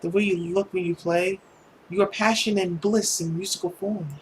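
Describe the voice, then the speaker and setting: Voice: mid-range voice, steady tone, moderate pace, a little hiss or hum, close to an everyday voice, rough recording. A narrator voicing an earnest, dreamy young friend in a visual novel, sincere and wistful. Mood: earnest, adoring.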